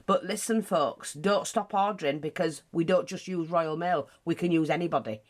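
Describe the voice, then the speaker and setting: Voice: medium pitch, normal speed. A craft teacher with a British accent, nose bunged up, chatting casually through a tutorial with frequent asides. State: blocked nose.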